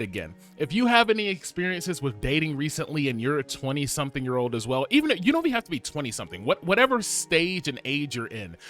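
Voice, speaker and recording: A man in his twenties chatting casually to camera, rambling and animated, with lots of fillers and laughs. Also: on the high side. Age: 20s. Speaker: vlogger.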